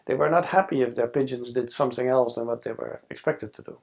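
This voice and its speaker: A man's voice: mid-range voice, fast, creaky, a little mellow, breathy edge. A man in his sixties from the Netherlands with a Dutch accent, speaking thoughtfully in an oral history interview, with frequent fillers and restarts, recorded on a lapel mic in a studio. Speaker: interviewee, scientist. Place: studio with a backdrop.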